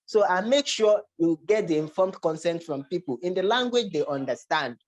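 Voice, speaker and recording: Halting Nigerian-accented academic presenter. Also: medium pitch, expressive. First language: Yoruba. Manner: hesitant, repeats words and restarts phrases. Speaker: research presenter.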